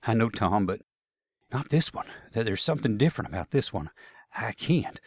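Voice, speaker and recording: A man's voice: fairly low pitch, very animated, normal speed, slightly rough, no room sound. Man acting an anguished, hesitant sharpshooter. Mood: troubled, pleading. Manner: hesitant, pleading, stammering. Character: anguished, ashamed young Confederate sharpshooter from Alabama.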